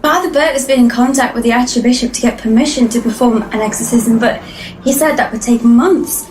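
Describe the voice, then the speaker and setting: Woman playing a high-voiced, worried young granddaughter. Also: brisk, darkish tone, reverberant, slight background noise, natural voice. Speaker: actor in an audio drama. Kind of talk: audio play dialogue.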